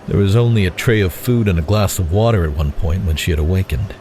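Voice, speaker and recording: A man's voice: deep voice, fast, dark tone, a little hiss or hum. A man reading scripted dramatic narration as the narrator of an audio story.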